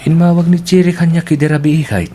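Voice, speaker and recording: A man's voice: a little high, fairly even intonation, quick pace, mellow, natural voice. A man with a Filipino accent acting a concerned, plain-spoken friend in an audio drama, frank and admonishing. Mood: curious, teasing. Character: concerned, plain-spoken male friend warning his buddy.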